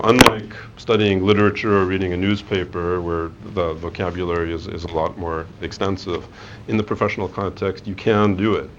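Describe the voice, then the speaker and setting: A man's voice: deep voice, fairly bright tone, highs cut off, slight background noise. A man in his forties giving a conversational career talk to students, with many fillers and restarts. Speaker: guest speaker. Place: lecture room.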